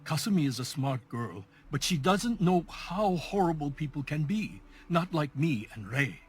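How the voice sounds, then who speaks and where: A desperate middle-aged father in a game drama, with a fairly high, husky voice. His strained, insistent delivery swings widely in pitch. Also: expressive, a little slow. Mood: distraught, insistent. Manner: urgent, insistent, agitated. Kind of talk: dramatic video game voice acting.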